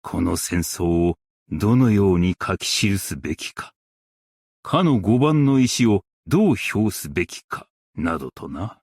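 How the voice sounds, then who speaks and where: A dignified, stern master craftsman and warrior from a game. His voice is very low and he speaks slowly in formal, archaic phrasing, each line weighty and measured. The sound is close and dry. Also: darkish tone, no room sound. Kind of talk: Japanese game character voice lines.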